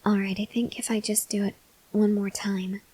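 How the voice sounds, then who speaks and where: A woman playing a gentle, awkward, hungry female vampire in an ASMR roleplay, speaking softly and hesitantly with breathy near-whispers and tender reassurance. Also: medium pitch, level tone, moderate pace, lightly acted, rough recording. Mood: careful, tender. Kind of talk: ASMR roleplay, intimate address to the listener.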